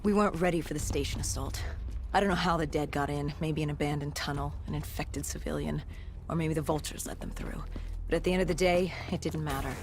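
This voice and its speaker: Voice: medium pitch, fairly even intonation, fast talker, background noise, close to an everyday voice. An actor playing a war-weary soldier in a video game cutscene, recounting battle memories in a grim, clipped, dramatic way. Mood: resigned, grim.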